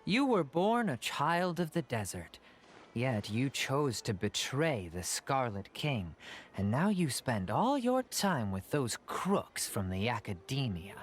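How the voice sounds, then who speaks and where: Needling young man, swinging pitch. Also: sing-song, slow. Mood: scornful. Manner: pointed, needling. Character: scornful, accusing young man, a desert cult convert. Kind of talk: anime video game voice acting.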